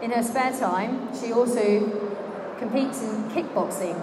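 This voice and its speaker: A woman working as ring announcer in a large indoor exhibition hall, calling out names slowly and clearly over a public-address system. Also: on the high side. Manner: slow, declamatory announcing of names. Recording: crowd and hall noise.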